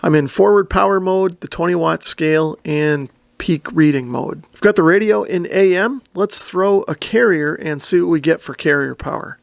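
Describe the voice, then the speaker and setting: A man's voice: a little high, normal speed, vocal fry, few pauses, no echo. A man hosting a casual, methodical product demo, explaining things step by step. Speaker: host of a radio review and demo video. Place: indoor radio shack.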